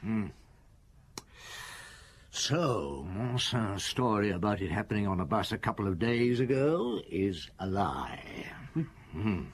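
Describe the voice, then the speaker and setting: An actor playing a weary, authoritative police chief who questions people in a measured, probing way. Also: male voice, on the low side, very animated, slight background noise, full character voice. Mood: calm. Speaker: detective chief inspector. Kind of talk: classic radio drama acting.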